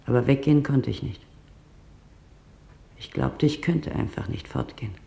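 A man playing a quiet, shaken witness who recounts a wartime arrest in a measured, detailed way, as in a radio documentary. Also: mid-range voice, steady tone, creaky. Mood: subdued, remembering. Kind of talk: dramatized documentary monologue in German.

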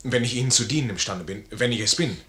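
Man acting a proud, forceful army major. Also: medium pitch, fast, natural voice. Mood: grave courtesy. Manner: commanding, formal. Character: proud, honourable, curt discharged army major. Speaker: radio drama actor. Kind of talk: 1950s German radio play acting.

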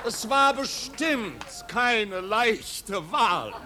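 An actor playing a snobbish, pompous marquis who ceremoniously hosts a lavish party in a 1950s German radio play. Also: male voice, sits high, sing-song, drawn-out words, exaggerated voice. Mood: pompous, festive. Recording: 1950s radio recording, noisy crowd. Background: noisy party crowd.

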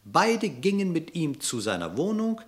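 A man narrating a classic verse fable in a radio play, measured and expressive. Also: a little high. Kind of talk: scripted storytelling narration in verse.

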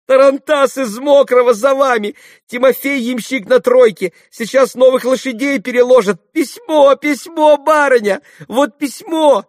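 Man as a shrieking, breathless maidservant, very high voice. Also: measured pace, dry recording, clean studio sound. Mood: breathless joy. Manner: excited, breathless shouting. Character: breathless, overjoyed young maidservant shrieking news.

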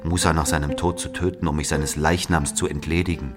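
A man's voice: a bass voice, little pitch movement, fairly quick. An actor playing a troubled Algerian man reliving his haunted boyhood in an intense, introspective literary monologue. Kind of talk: literary monologue, dramatic narration. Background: plucked guitar music.

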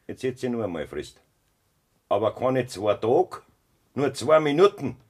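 An actor with a raspy voice and Bavarian accent playing a cold, threatening farmer who bullies his family in a radio drama. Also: mid-range voice, big pitch swings, unhurried. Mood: menacing, taunting. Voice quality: raspy, gravelly. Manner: taunting, threatening. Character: cold, menacing farmer bullying his wife and mother. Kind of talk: German dialect radio drama acting.

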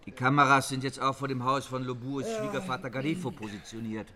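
An actor in a German radio crime drama playing an alert, brisk, colloquial police surveillance officer. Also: male voice, mid-range voice, fairly even intonation, a crowd in the background, natural voice. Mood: focused. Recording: faint background noise.

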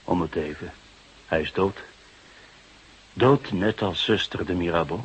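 An actor playing a sceptical, patient older police detective in a radio drama, with a measured, musing delivery. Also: male voice, low-pitched. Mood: calm, probing. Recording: noisy radio drama recording.

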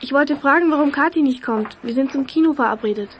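A woman's voice: high voice, fast talker, background noise, lightly acted. A woman playing a polite, eager young schoolgirl in an audio drama, speaking courteously and earnestly. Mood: polite, concerned. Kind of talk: German audio drama acting.

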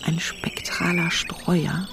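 A woman playing a hushed, brooding narrator in a radio drama, speaking scripted lines in a breathy whisper. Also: lowish voice, flat delivery, slow pace, over loud music. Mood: hushed, intense.

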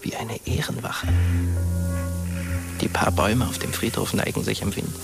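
A gravelly, hushed dead teenage boy. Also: lowish voice, fairly even intonation, moderate pace. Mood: wistful, observant. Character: dead teenage boy narrating as a ghost, wry, wistful. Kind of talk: German radio drama narration. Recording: music under the voice.

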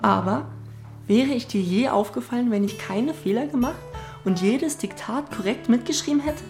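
Radio play: woman as brash, teasing young boy, higher voice, score underneath. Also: moderate pace, natural voice. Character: cheeky, self-assured schoolboy. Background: music.

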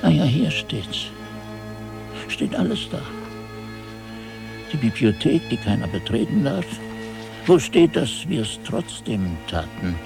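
An actor playing an aged, forgetful monk who recounts haunting events in a radio play, muttering to himself and then exclaiming. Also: male voice, medium pitch, vocal fry, full character voice. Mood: musing, troubled. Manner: halting self-talk, swinging from muttering to exclaiming. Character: aged monk chronicler, forgetful, haunted, musing over his manuscript. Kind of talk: dramatic radio play narration.

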